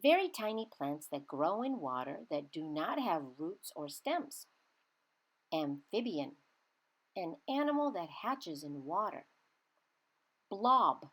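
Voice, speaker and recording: A woman reads a children's science book aloud at an unhurried pace. Her slightly low voice rises and falls in lively swoops. She is teacherly, giving key words clear emphasis. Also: low-quality recording. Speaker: children's read-aloud reader.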